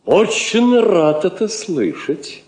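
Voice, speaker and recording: An actor playing an elderly foreign minister in a 1960s radio drama, a wry, world-weary and cautious diplomat. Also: male voice, high-pitched, slow, heavily acted. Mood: guarded. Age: older. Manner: wry, aphoristic, expressive. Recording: roomy vintage drama recording.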